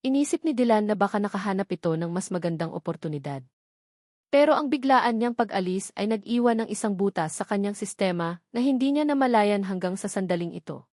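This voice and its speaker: A Filipino woman narrates a dramatic scripted love story. Her voice sits at a middle pitch for a woman, and the recording is dry, with hardly any room sound. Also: lively intonation, brisk, a little mellow, no room sound.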